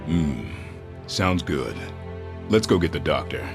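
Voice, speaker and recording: A deep-voiced, gruff creature hero from a video game. He is blunt, cocky and sardonic, with faint music underneath. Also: expressive, slow pace, full character voice. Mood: matter-of-fact. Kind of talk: video game cutscene acting.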